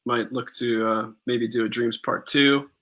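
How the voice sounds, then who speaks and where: Bright-voiced easygoing podcast co-host man. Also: mid-range voice, steady tone, measured pace. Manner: easygoing, agreeable, reads out viewer comments. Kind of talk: casual live-stream chat.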